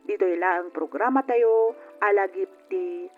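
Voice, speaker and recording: A woman's voice: medium pitch, normal speed. A woman hosting and narrating a radio drama programme in a scripted style. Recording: dull lo-fi radio recording. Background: faint music.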